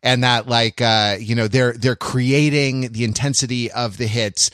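Man, mid-range voice, podcast co-host, halting and repetitive phrasing, casual film talk, very dry recording. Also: medium pitch, fairly even intonation, clean tone, no room sound. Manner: halting, thinking out loud, repeats words.

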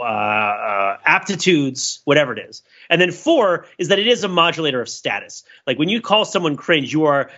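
A man, a podcast co-host talking casually and analytically, explaining his points in a wordy, halting way. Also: on the high side, sharp tone, highs cut off.

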